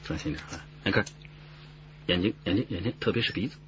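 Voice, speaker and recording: An actor with a Mainland Chinese Mandarin accent playing a bossy, wry police squad chief in a radio drama. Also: male voice, low-pitched, fast talker, natural voice. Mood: focused, commanding. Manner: brusque, commanding, repeats orders. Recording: muffled, noisy broadcast radio drama.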